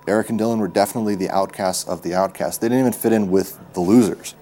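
A man's voice: on the low side, fast, mellow, a little hiss or hum. An American man recollecting his school days as an interviewee.

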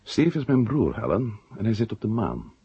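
Male journalist, confiding and wry, lowish and very lively, for a vintage sci-fi radio serial. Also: moderate pace, slightly rough, lo-fi sound, exaggerated voice. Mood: wry, fond. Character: adult male journalist, blunt, wry, worried about his brother. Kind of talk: 1970 Dutch science-fiction radio drama acting.

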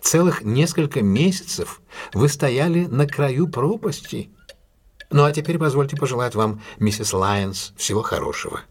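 An actor playing a confident, courteous male detective in an audio drama, speaking in a measured, deliberate and formally polite way. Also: mid-range voice, expressive, husky. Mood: composed, confident. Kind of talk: audio drama acting, classic detective story.

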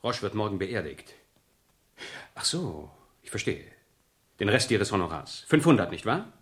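Arrogant, sneering, excitable suspect. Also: male voice, lowish voice, a little slow. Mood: curt, condescending. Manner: brusque, mocking, commanding. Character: arrogant male murder suspect, domineering, irritable, sneering. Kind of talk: 1970s radio drama dialogue.

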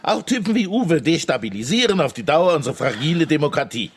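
An actor playing a hardened, embittered middle-aged judge in a radio drama, curt, defensive and self-righteous. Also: male voice, a little high, sing-song, a little fast, breathy edge. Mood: bitter, indignant. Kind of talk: naturalistic German radio drama dialogue.

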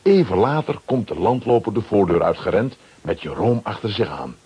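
A man narrating a children's radio play as a scripted storyteller, with a very husky voice. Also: fairly low pitch, animated, normal speed, muffled recording.

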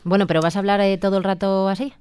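A woman runs a comic radio segment from the studio, acting as the teasing, bossy straight woman to her partner. Her voice sits at an ordinary middle pitch for a woman, and she keeps the banter moving with firm prompts. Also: little pitch movement, rapid-fire, a little mellow, smooth, no echo. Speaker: radio show host and director.

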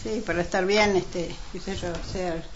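A woman with an Argentine accent playing a neighbourly housewife hosting friends in an amateur radio drama, speaking haltingly and conversationally. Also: fairly low pitch, fairly even intonation, a crowd in the background, close to an everyday voice. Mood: neighbourly, chatty. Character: Selmira, neighbourly housewife hosting women for mate. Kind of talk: amateur community radio drama, semi-improvised chat. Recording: dull lo-fi, clearly noisy.

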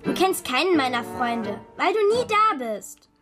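A woman's voice: high-pitched, sing-song, a little slow, music in the background. A woman playing a hurt, cheeky nine-year-old girl in a children's radio drama, with a direct, reproachful, argumentative manner. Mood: angry, reproachful.

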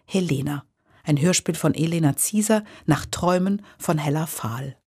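A woman's voice: sits low, moderate pace, mellow, husky. A woman radio presenter reading a scripted introduction to a radio drama.

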